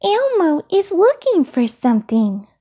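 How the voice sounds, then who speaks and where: A woman's voice: extremely high pitch, huge pitch swings, a little slow, dark tone, voice pushed up, heavily acted. A woman voicing a small, eager, polite monster with a childlike manner for a children's storybook. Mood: eager, searching. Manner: childlike, refers to self in third person.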